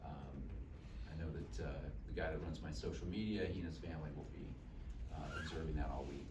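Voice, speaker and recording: A man in his fifties from Dallas, Texas, giving a campaign speech, telling his story in a relaxed way with lots of fillers and self-deprecating jokes. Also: rumbling low, fairly quick. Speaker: political candidate. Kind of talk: campaign speech to a local group. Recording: echoey room, mic far off, noisy.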